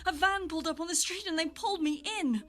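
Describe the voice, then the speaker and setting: A woman's voice: extremely high pitch, fast, fairly bright tone. An actress playing a frightened captive woman, anxious and pleading in a drama. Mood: alarmed.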